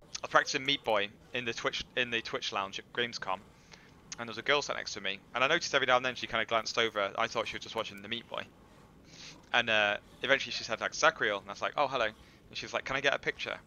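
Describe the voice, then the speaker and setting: A man's voice: mid-range voice, fast, bright, forceful tone, lo-fi sound, a little hiss or hum. A man in his thirties with an English accent, a streamer telling self-mocking stories in casual chat through a headset mic in a small room. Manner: chatty, self-mocking storytelling with swearing.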